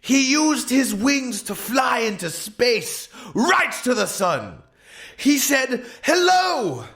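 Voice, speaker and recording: A man's voice: extremely high pitch, very animated, slow pace, fairly bright tone. A man narrating an absurd comedic tale as a storyteller, with a theatrical, exaggerated delivery.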